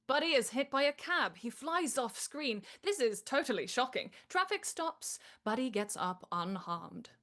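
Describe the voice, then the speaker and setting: A woman's voice: fairly high pitch, very animated, a little slow, hardly any pauses, a bit bright. A woman reading stage directions as the narrator of a live comedy table read.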